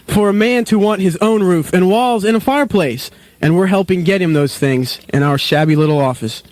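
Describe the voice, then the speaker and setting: A man playing a gentle, earnest ageing father in a parody radio play. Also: sits high, moderate pace, dry recording, natural voice.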